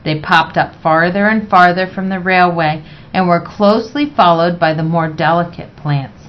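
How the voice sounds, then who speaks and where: A woman reading a children's picture book aloud in a measured, expressive way. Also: lowish voice. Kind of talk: read-aloud children's story narration. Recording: dull, lo-fi sound.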